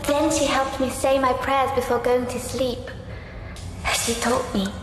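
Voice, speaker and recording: Gentle young sister in a long, echoing reverb. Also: female voice, high voice, steady tone, unhurried. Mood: wistful, sincere. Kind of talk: dramatic dark fantasy game acting. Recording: echoey hall reverb with music behind.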